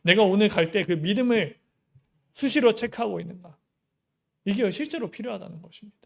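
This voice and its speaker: A man's voice: high-pitched, brisk, creaky, fairly bright tone. A young adult male pastor giving a Sunday sermon in an easy, conversational way, with many rhetorical questions.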